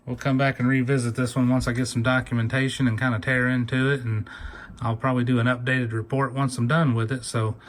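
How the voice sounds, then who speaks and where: A man's voice: medium pitch, flat delivery, fast talker. Easygoing man with faint background noise. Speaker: hobbyist video presenter. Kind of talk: casual hands-on gadget demo. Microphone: phone camera mic. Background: radio chatter and hiss.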